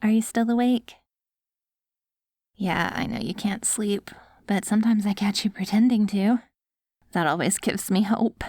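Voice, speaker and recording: A woman playing an affectionate post-apocalyptic wife in an ASMR audio roleplay, coaxing and gently praising her ghoul husband. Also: medium pitch, measured pace, long pauses, no echo, lightly acted. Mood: hopeful, fond.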